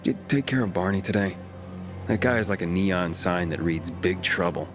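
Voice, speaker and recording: A guarded young wanderer from a video game, acted by a man. His voice sits low and he speaks plainly and with resolve. Music plays clearly behind him. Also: normal speed, close to an everyday voice. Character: guarded, determined young male pilgrim and survivor. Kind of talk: naturalistic game dialogue.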